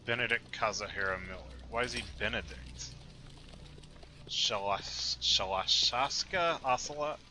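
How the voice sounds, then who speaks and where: A man's voice: on the low side, a little slow, pushed and bright, slight background noise. A man giving casual commentary as he plays a video game, musing aloud to his viewers.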